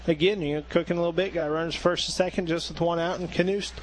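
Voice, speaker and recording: A man giving easygoing color commentary on a baseball radio broadcast, with a soft-spoken manner. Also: fairly high pitch, fairly even intonation, fast talker, slight background noise.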